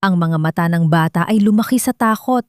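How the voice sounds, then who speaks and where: A woman's voice: fairly low pitch, a little fast, no echo. Filipino woman narrating a dramatic story, dry recording. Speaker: audiobook narrator.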